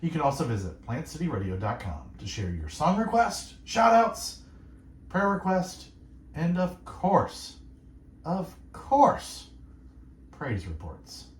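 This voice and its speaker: A male radio host speaking in a calm, soothing, meditative way, gently musing and asking reflective questions. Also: a little high, takes it slowly, echoey room. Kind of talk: gentle devotional radio talk.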